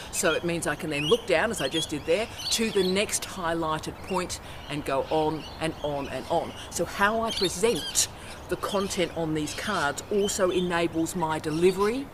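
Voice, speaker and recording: A middle-aged woman, a university academic, giving an emphatic, forthright instructional vlog talk outdoors on a campus by the ocean, filmed on her own camera. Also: low voice. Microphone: self-filmed camera outdoors. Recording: outdoor noise with birds and traffic.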